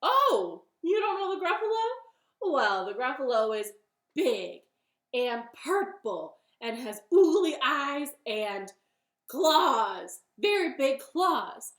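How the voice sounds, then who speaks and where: A woman's voice: high voice, big pitch swings, slow pace, a bit bright, pitched above the performer's own voice, heavily acted. A woman voicing a clever little female storybook bunny, hesitant and bluffing, with a secretly proud streak. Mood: nervous bluffing. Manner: hesitant, stalling ums and ohs. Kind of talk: animated children's storytelling. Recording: roomy, echoing sound.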